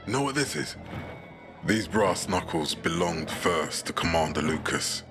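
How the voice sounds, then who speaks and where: An actor playing a stern male military commander who barks orders and speaks gravely of duty. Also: deep voice, expressive, measured pace, over music. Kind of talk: video game cutscene acting.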